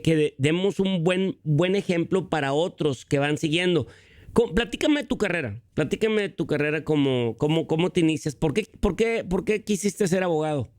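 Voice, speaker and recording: A male radio host asking questions in a halting way, with many repeats and filler sounds. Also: a little high, dry recording. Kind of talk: interviewing.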